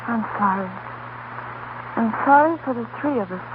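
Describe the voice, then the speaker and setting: A woman's voice: fairly high pitch, expressive, normal speed, natural voice. Actress as a weary young woman, tinny radio. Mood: resigned, sad. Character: weary, resigned young woman, quietly heartbroken. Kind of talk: 1930s radio drama acting. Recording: noisy, tinny 1930s radio broadcast.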